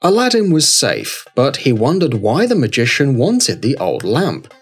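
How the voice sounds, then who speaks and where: Animated male children's story narrator. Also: mid-range voice, unhurried. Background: background music.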